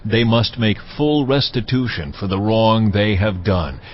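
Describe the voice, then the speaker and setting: A man voicing God in a dramatized audio Bible, giving solemn commands in a measured, authoritative way. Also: fairly low pitch, slight background noise, lightly acted. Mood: solemn, commanding. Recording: dull studio sound under music. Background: clear background music.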